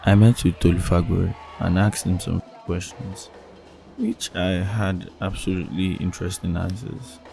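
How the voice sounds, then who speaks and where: A man narrates a documentary from a script. His voice is very low and gravelly, with music playing clearly behind him. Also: steady tone, moderate pace, warm tone.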